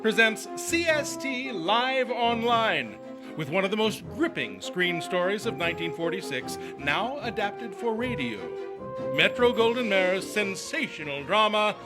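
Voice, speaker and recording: Male, old-time radio announcer, showy and animated, clear music underneath. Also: high-pitched, measured pace. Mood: grand. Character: grand, showy 1940s radio show announcer. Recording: music playing behind. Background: prominent music.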